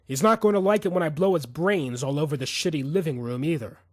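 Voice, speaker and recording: A man's voice: fairly high pitch, fast talker, darkish tone, dry recording, clean studio sound, lightly acted. A man voicing a cold, vengeful assassin with simmering rage, menacing and taunting in an audiobook thriller. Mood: callous.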